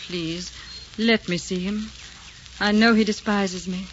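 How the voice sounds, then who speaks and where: A woman's voice: medium pitch, steady tone, slow, natural voice. An actress playing a proud, romantic young noblewoman in earnest, melodramatic 1940s radio style. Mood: pleading, tender. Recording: noisy, muffled 1940s radio recording.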